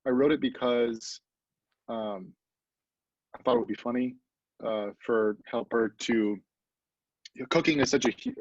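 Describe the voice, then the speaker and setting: A man's voice: mid-range voice, no echo. Man, writer, casual explanation, dry sound, dull lo-fi recording. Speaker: writer in a theatre collective.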